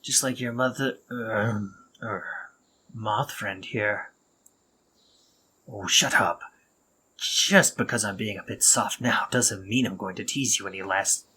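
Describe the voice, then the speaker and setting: A man voicing a sardonic but tender spider-monster man, speaking in a soft, breathy half-whisper in an intimate audio roleplay. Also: fairly low pitch, takes it slowly, slight background noise. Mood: tender wonder. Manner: gentle, dry and teasing, intimate.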